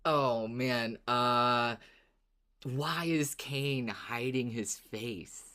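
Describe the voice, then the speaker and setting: A woman co-hosting a podcast in casual fan chat, expressive and enthusiastic, with some swearing. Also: a bass voice, extremely slow. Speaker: podcast co-host.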